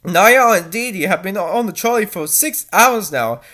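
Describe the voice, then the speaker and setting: Bright, sarcastic fan fiction reader, very animated. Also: medium pitch, normal speed. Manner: sarcastic, exaggerated. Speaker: host reading fan fiction aloud. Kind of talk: mocking dramatic reading with commentary.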